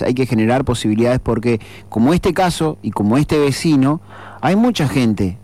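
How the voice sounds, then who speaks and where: A man's voice: medium pitch, darkish tone. A man hosting a radio talk show, speaking earnestly and reflectively with frequent fillers and restarts. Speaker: radio host. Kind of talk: radio commentary.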